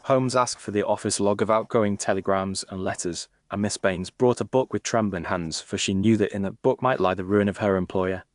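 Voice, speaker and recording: A man reading a Victorian detective story in a measured, formal, literary manner. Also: lowish voice, dry recording, studio quality. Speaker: narrator.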